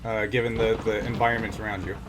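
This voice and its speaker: A man running a tabletop game as game master, casually narrating and refereeing in a halting, thinking-aloud way. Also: fairly low pitch, level tone, noisy background.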